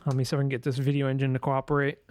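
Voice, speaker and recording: A man's voice: mid-range voice, monotone, very fast, heavy background noise. A man giving a casual screen-share tutorial as a sound designer, thinking aloud informally and correcting himself as he goes.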